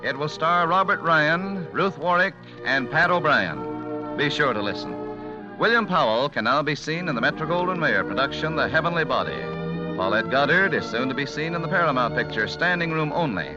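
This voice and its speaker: An American man announces in 1940s radio style. His voice is slightly high and very lively in pitch. Music plays clearly behind him on the old broadcast. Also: normal speed, lo-fi sound.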